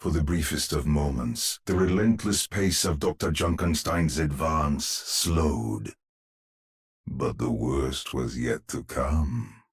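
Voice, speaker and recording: A man playing a grave storyteller who narrates a dark Halloween tale for a video game in an ominous, theatrical manner with a husky voice. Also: a bass voice, slow, heavily acted. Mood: ominous, dramatic.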